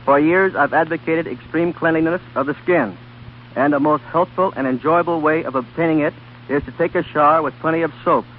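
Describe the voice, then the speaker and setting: An American man reads a scripted fitness testimonial in 1930s radio style. His voice is fairly high. The recording is very muffled and noisy. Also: a little fast. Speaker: Hollywood fitness trainer giving a testimonial.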